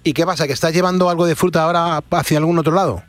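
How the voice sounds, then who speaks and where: A man's voice: a little high, steady tone, racing, dry recording, soft background music. A man with an accent from Spain, hosting a radio phone-in and chatting in a casual, familiar way with callers. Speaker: radio host taking listener calls.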